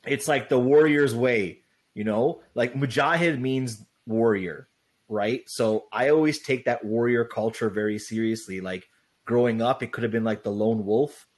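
Man, young adult, loud voice, loose rambling chat, filler-heavy. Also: medium pitch, a little slow. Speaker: guest. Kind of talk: casual interview chat.

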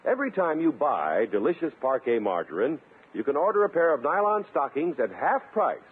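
Animated American male radio announcer. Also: fairly high pitch, a little fast, breathy edge. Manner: polished, emphatic salesmanship. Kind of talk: 1950s radio commercial, scripted sales pitch. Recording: narrow, scratchy 1950s radio broadcast.

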